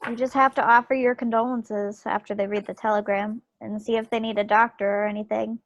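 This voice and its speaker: A plucky, loving young wartime wife played by a woman reading a stage play. Her voice sits fairly high, and she chats tenderly and easily. The video call sound is dry and a little dull. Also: little pitch movement, brisk, dry recording, close to an everyday voice. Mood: friendly, earnest. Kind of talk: dramatic table read of a stage play. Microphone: computer mic on a video call.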